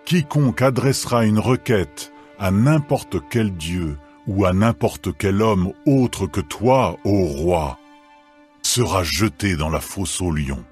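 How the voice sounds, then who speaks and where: A man's voice: deep voice, slow, darkish tone. A man playing a formal, deferential royal official addressing his king in a solemn, ceremonious way in a dramatised scripture reading. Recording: background music.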